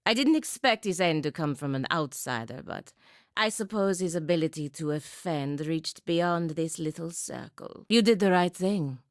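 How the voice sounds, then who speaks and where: A woman's voice: on the low side, animated, normal speed, dry recording, natural voice. An actress playing a stern, dutiful and proud paladin woman in a video game, with a dry, sardonic and commanding manner. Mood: grim, resigned. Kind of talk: video game character dialogue.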